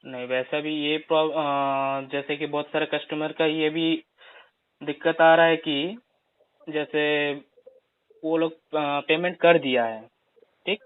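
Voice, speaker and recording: Higher-voiced man questioning on a phone call. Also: steady tone, slow, smooth, no echo. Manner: probing, asks follow-up questions. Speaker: caller questioning a recovery agent. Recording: dry recorded phone call, slightly dull.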